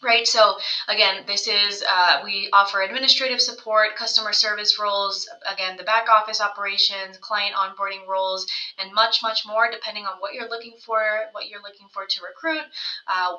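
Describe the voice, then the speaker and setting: A woman's voice: mid-range voice, steady tone, brisk, sharp tone, highs cut off. A woman, a company co-founder, giving a casual business presentation over slides, conversational and often checking in with "right?". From United States. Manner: conversational, frequent "right?" tag questions.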